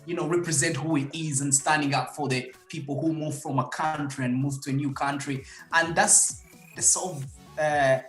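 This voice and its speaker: A man's voice: fairly high pitch, a bit bright, reverberant. A young man with a Congolese accent, talking earnestly as a guest about his creative work. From Democratic Republic of Congo. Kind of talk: casual interview.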